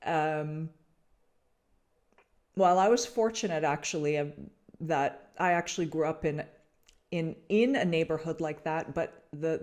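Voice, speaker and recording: A woman from Canada speaks in a low, unhurried voice, feeling her way through each thought. She restarts phrases and fills pauses as she reflects aloud to her podcast listeners. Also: a little mellow. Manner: slow, searching, many restarts and fillers. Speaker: podcast host. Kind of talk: reflective spiritual talk to listeners.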